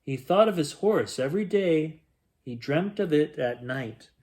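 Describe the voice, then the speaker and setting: A man reading a rhyming picture book aloud as a bedtime story, in a gentle, expressive storytelling manner. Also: fairly high pitch, a little slow. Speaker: children's bedtime story reader.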